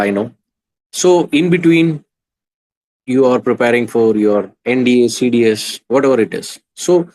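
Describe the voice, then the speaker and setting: A man's voice: mid-range voice, darkish tone, low-quality recording. A man in his thirties with an Indian English accent, coaching students in an online class, earnest and hesitant with many restarts. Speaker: exam coach.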